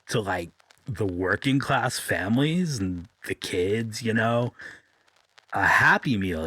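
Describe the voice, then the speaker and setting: Casting: male actor as self-satisfied dude superhero, low husky voice, casual public-statement delivery, audio drama. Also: fairly bright tone, no echo, full character voice, low-quality recording.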